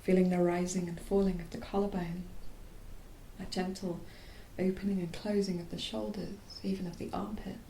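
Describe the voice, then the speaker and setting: A woman teaching yoga nidra, leading a guided relaxation in a soft, soothing, unhurried voice that turns breathy at phrase ends and pauses mid-phrase. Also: level tone. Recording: roomy echo, faint low rumbling noise.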